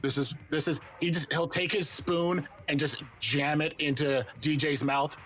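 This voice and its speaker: A man's voice: a little high, steady tone, measured pace, a bit bright, a little hiss or hum. A man playing a tabletop role-playing game, casually narrating what his character does. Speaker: player.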